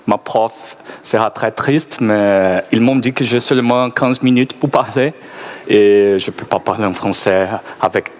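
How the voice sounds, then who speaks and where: A man's voice: fairly low pitch, measured pace. A man from Brazil with a Brazilian Portuguese accent, a Portuguese native speaker, giving a hesitant, nervous, self-deprecating conference talk with many fillers. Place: conference stage.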